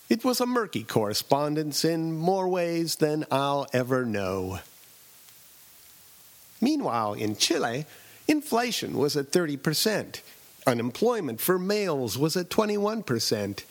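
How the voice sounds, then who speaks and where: Wry man narrating with lively pitch. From Southern California. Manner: wry, deadpan delivery with dramatic pauses. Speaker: radio essayist and host. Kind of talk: scripted storytelling narration.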